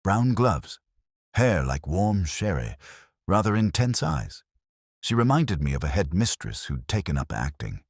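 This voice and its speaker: A man puts on an elderly lady librarian for a detective audiobook, prim and guarded. The pitch is lifted above the narrating voice and keeps a husky edge, with precise, faintly disapproving gossip in the delivery. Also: sing-song, a little slow, dry recording, studio quality, full character voice.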